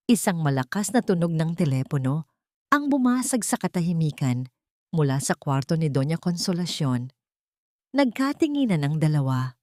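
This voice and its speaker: A Filipino woman narrates a dramatic story in a low voice. The recording is dry and close, and she delivers the scripted lines as a storyteller. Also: mellow, no echo.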